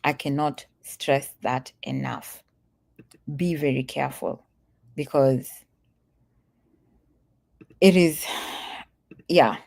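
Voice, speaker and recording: A woman's voice: very deep voice, slow pace, creaky, long pauses, a little mellow. A woman from Kenya talking casually to her livestream viewers, earnest and emphatic, repeating her warnings and laughing easily. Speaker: vlogger.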